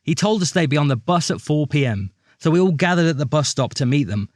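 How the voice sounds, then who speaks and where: Man as a chatty, higher-pitched cartoon chap. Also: lively intonation, quick pace, darkish tone, no room sound, clean studio sound, lightly acted. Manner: chatty, gushing. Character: friendly, eager male cartoon character reminiscing. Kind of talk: mock documentary interview in audio drama.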